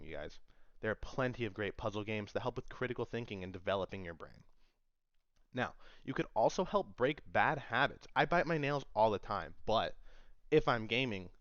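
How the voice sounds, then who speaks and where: A man's voice: lowish voice, a bit bright, dry recording, muffled recording. A young man giving an informal speech to an audience in a conversational, emphatic way, repeating phrases for stress. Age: young adult. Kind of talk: informal public-speaking presentation to an audience.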